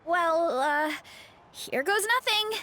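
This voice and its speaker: A woman's voice: very high voice, animated, takes it slowly, slight background noise, full character voice. A woman voicing a sweet, modest young heroine in a video game, hesitant and easily flustered. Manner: soft-hearted, hesitant.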